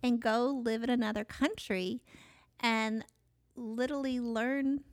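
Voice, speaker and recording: A woman's voice: a little high, steady tone, measured pace. A woman hosting a podcast in a chatty, effusive manner. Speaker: podcast host, business owner.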